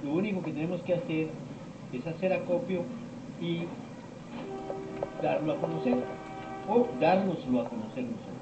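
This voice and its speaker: A middle-aged man, a teacher of esoteric topics, giving a slow, rambling informal lecture with many pauses and quoted dialogue. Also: on the high side, animated, over loud music. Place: lecture room. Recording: echoey room, distant mic, dull lo-fi sound, noisy.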